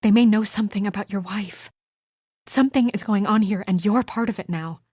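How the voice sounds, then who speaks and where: An actress as a serious young woman investigator in a noir fantasy game. She is restrained and businesslike, with a dry edge, in a medium-pitched voice. The recording is close and free of room sound. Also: brisk, mellow, slightly rough, no echo, close to an everyday voice. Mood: earnest, firm. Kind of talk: video game voice acting, naturalistic dramatic dialogue.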